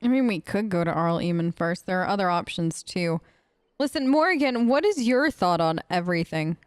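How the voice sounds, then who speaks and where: A woman's voice: lowish voice, lively intonation, normal speed, clean tone, no echo. A woman hosting a role-play let's play, improvising conversationally in character at a close microphone. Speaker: gaming host.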